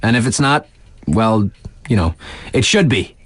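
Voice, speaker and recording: A man's voice: on the low side, expressive, measured pace, breathy edge, dry recording. A man with a North American accent playing a wry, laid-back young radio DJ at a snowboard resort in a video game, delivering scripted patter casually and tongue-in-cheek. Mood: dry, joking.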